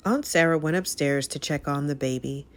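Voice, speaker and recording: A woman reading a children's story aloud as narrator, with an expressive, dramatic delivery. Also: deep voice, normal speed, faint music behind.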